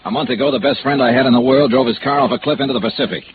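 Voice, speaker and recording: An American actor playing a hard-boiled, impatient investigator in a radio noir drama, with a clipped, dry, sardonic delivery. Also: male voice, medium pitch, very fast, background noise, close to an everyday voice. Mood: bitter, determined. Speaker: lead actor and narrator. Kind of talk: 1950s American radio noir acting and narration. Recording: tinny vintage radio recording.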